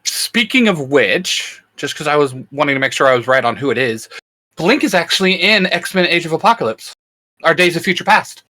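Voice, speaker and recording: A man's voice: on the high side, lively intonation, fairly quick, bright, forceful tone. A man co-hosting a casual comics chat, teasing and chipping in as he banters. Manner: teasing, interjecting. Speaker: co-host of a geek discussion show.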